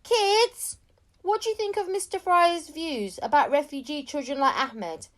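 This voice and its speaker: A woman voicing a pushy newspaper reporter in a storybook, calling out loudly and insistently at schoolchildren. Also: high voice, measured pace, voice pushed up. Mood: pushy, shouting.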